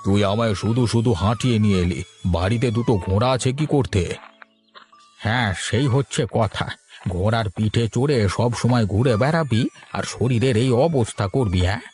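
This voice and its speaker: A man voices a blunt village fellow from a cartoon who likes to needle people. The voice sits fairly low, with light music underneath. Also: moderate pace, darkish tone, lightly acted. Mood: teasing, scolding. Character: blunt, teasing village man chatting with his friend. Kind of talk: cartoon voice acting.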